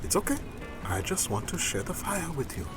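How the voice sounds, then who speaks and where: An actor playing a gentle, courteous homeless man, once an engineer, with polite, formal speech in an audio drama. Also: a little high, very animated, moderate pace, music in the background. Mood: coaxing, reassuring.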